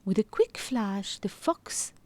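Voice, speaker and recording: A woman reading a children's story aloud in expressive scripted narration. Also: mid-range voice, slow pace, no echo.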